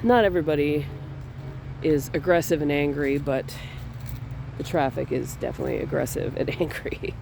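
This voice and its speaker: A slightly high-voiced man with a stuffy, congested sound talks casually while strolling outdoors. His delivery rambles and restarts. A faint hum of traffic and outdoor noise sits behind him. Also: normal speed, vocal fry. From Minnesota. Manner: relaxed, rambling, with small laughs and restarts. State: stuffy from a cold. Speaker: artist vlogger. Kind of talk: casual walking vlog. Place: outdoors in a cemetery on a fall day.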